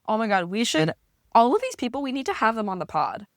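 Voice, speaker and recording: A woman's voice: on the high side, big pitch swings, quick pace, no echo, studio quality. A young woman co-hosting a podcast in a casual, chatty way. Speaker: podcast co-host. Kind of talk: casual chat.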